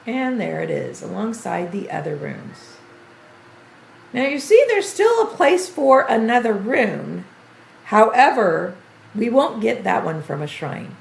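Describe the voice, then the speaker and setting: Gravelly-voiced grandma gamer, echoey room. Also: female voice, medium pitch, very animated, measured pace. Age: older. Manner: chatty, thinking aloud while playing. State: voice going hoarse. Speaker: gaming streamer. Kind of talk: game tutorial livestream commentary.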